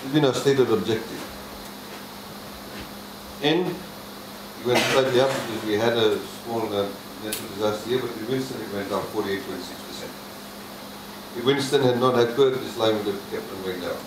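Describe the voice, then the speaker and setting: A man, presenting a budget to a public meeting in a measured way, with many pauses and fillers. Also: on the low side, noisy background. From Fiji. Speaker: government minister presenting a budget. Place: public consultation meeting hall. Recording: echoey hall, faint ambience.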